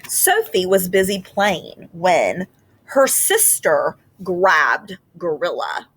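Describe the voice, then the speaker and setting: A woman teacher explaining reading ideas to children in a gentle, patient way with frequent fillers, then reading a picture book aloud expressively. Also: on the low side, sing-song, takes it slowly, breathy edge, low-quality recording.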